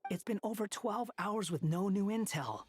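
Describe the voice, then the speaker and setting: A man's voice: high-pitched, lively intonation, moderate pace. A man voicing a blunt, impatient analyst in a video game, curt and scornful. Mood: irritated. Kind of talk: video game voice acting, dramatic dialogue.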